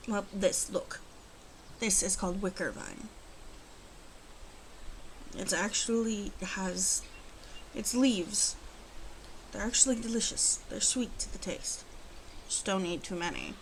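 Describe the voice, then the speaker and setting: A woman playing a proud, wry female werewolf of the forest in an ASMR roleplay, teasing and faintly disdainful, speaking deliberately to the listener. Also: medium pitch, slight background noise, poor audio. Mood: calm, instructive.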